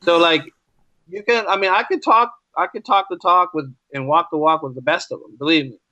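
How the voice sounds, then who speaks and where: A man's voice: a little high, fairly quick, sharp tone, dry recording, highs cut off. An American man talking as a teacher being interviewed, making his points emphatically with repeated phrases and rhetorical questions. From United States. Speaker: podcast guest, teacher. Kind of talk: conversational interview.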